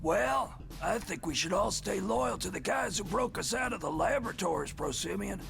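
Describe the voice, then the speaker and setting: An actor voicing a loyal, earnest animal mutant escaped from a laboratory, in a motion comic. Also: male voice, sits high, expressive, moderate pace, vocal fry, full character voice. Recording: prominent music behind the voice.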